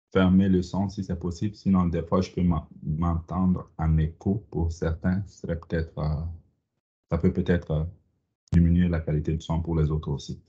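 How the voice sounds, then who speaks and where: A man's voice: low voice, warm tone, dull audio. A man from Mali presenting a business webinar over a video call, hesitant with many fillers and restarts. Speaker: company CEO presenting a webinar. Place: home or office in Canada. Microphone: video call microphone.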